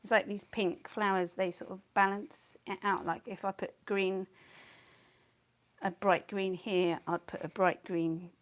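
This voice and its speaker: A woman talks through a live watercolour session with a slightly worn voice, as if she is losing it. She thinks aloud, rambles and drops into quiet mutters under her breath between explanations. Her pitch sits right in the middle. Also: little pitch movement, unhurried. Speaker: watercolour artist teaching. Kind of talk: casual live painting talk.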